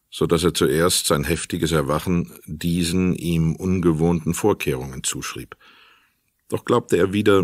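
Male narrator for crime radio play: low voice, close dry sound, literary storytelling. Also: no echo.

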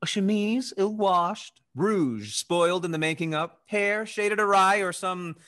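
A young man playing a suave, faithless gentleman rake in a Restoration comedy, glib and mocking. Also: high-pitched, unhurried. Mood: mocking, teasing. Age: young adult. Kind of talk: theatrical period stage acting over video call. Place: dim indoor room, on video call.

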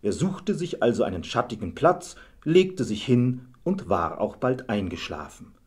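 Guy narrating a fairy tale audio play, raspy mid voice, measured storytelling. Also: medium pitch, big pitch swings, darkish tone.

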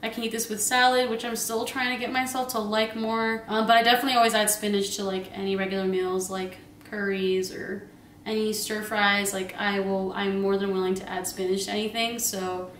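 A woman's voice: medium pitch, level tone, fairly quick, a bit bright, a little hiss or hum. A young woman in her twenties chatting casually through a grocery haul at home, bubbly and giggly, rambling with lots of fillers. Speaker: vlogger. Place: home kitchen, indoors. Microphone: camera a few meters away. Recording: roomy kitchen sound, camera at a distance.

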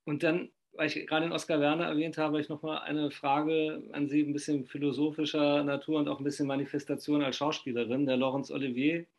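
A man's voice: a little high, little pitch movement, brisk, few pauses. A middle-aged man from Germany interviewing a guest over a video call, chatty and enthusiastic, with rambling questions and frequent laughs. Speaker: interviewer, fanzine host. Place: home room indoors.

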